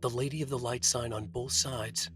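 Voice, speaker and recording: An actor playing a haunted, trapped writer, narrating his nightmare in a moody, deliberate noir style for a video game. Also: mid-range voice, fairly even intonation, a bit bright, faint music behind, lightly acted. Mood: brooding, uneasy.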